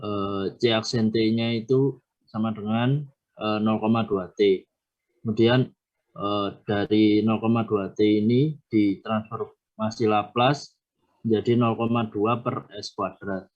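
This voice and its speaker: A young man presents a worked problem to his class at an unhurried pace. He hesitates often, filling the gaps as he thinks. His voice arrives over a dull, muffled online call. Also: fairly low pitch, fairly even intonation. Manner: halting, many filler hesitations. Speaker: student presenting a solution.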